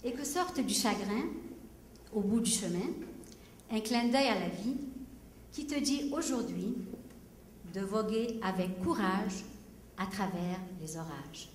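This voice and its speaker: A woman's voice: medium pitch, expressive, slow, pauses often, slight background noise. A woman from Egypt whose first language is Arabic, a singer-songwriter hosting a benefit concert and reciting a poem on stage. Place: large concert hall. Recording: echoey hall from far off with music.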